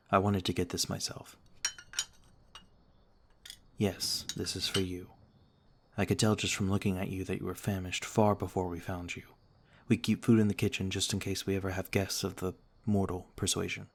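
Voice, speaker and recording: A man plays a noble male vampire lord in a soothing ASMR roleplay. His voice is deep and stays within a narrow, level range. He speaks with gentle, measured formality, like a calm and caring protector. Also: vocal fry, slightly rough, full character voice. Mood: kindly, reassuring.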